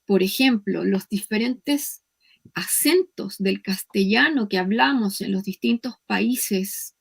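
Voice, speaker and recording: A woman with a Chilean accent giving an academic conference lecture in a measured, explanatory way, with hesitant pauses. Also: medium pitch, vocal fry, husky.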